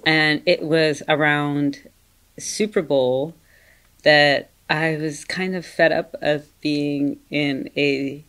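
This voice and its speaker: Interview guest: woman in her 30s from the UAE, very deep voice, easygoing storyteller who laughs a lot. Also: steady tone, takes it slowly. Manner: chatty, laughs often, warm storytelling with acted quotes. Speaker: yoga instructor and business owner.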